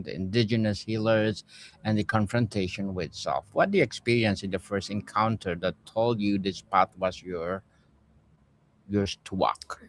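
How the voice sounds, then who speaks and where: Low-voiced formal podcast host. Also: male voice, slight background noise. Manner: formal, measured, lists things in short phrases. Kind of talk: interview questions.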